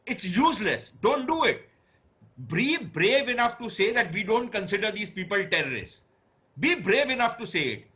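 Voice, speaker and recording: Blunt, high-voiced Indian male commentator. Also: big pitch swings, normal speed. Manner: blunt, scornful, emphatic. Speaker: debate panelist. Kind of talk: opinionated live debate commentary. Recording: dull lo-fi broadcast audio.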